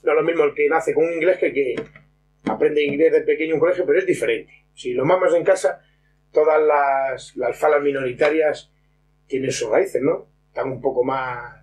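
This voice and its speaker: A man's voice: on the high side, moderate pace, rough recording. Middle-aged man, background hum. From San Martín de Trevejo, Extremadura, Spain. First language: Fala. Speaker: interviewee. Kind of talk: informal interview, explaining. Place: rustic stone-walled room, indoors. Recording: faint room hum and noise.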